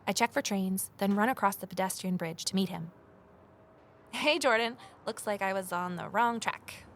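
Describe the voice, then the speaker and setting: Actress as curious determined woman investigator, mid pitched, earnest audio drama storytelling, bit of noise behind. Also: moderate pace, lightly acted. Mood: uneasy, intrigued. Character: Evelyn, determined, inquisitive young woman investigator and narrator. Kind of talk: first-person scripted drama narration and dialogue.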